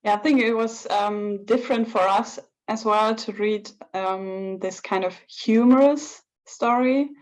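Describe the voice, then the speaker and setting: A woman hosts a book club conversation over a video call, and she speaks very slowly. She takes long pauses and fills them with "um". Her voice sits in a middle pitch. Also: rough recording. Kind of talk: moderating an online talk.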